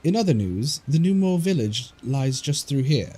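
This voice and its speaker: A man's voice: mid-range voice, very animated, moderate pace, warm tone, slightly rough, pitched above the performer's own voice. A man voicing a courtly male scholar, polite and formal, with archaic phrasing. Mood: courteous.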